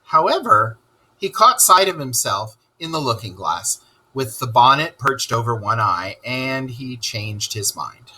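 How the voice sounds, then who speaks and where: A man narrating a children's story aloud in an expressive storytelling style. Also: medium pitch, measured pace, slight background noise, poor audio.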